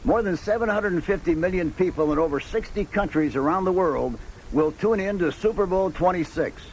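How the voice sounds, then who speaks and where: Guy reading a promo script for radio, kinda higher voice, little bit of tape noise. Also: fairly quick, rough recording. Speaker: commercial announcer. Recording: taped radio ad, faint noise.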